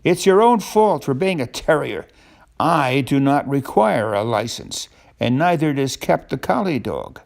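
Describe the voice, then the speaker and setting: Grumbling tomcat shopkeeper read by an older male storyteller, creaky voice, swinging pitch, blunt and proper, storybook. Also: lively intonation, unhurried. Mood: dismissive.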